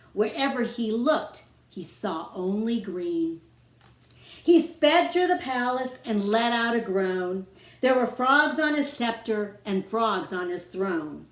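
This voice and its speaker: A woman reading a rhyming children's story aloud as its author, with expressive, dramatic phrasing aimed at young listeners. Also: on the high side. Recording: roomy, echoing room sound.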